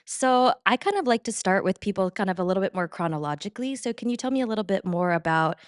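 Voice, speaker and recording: A middle-aged woman hosting a fitness podcast, moving from a scripted intro into relaxed, upbeat interview questions. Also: mid-range voice, fast talker, clean tone, dry recording, studio quality. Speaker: podcast host. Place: New York City.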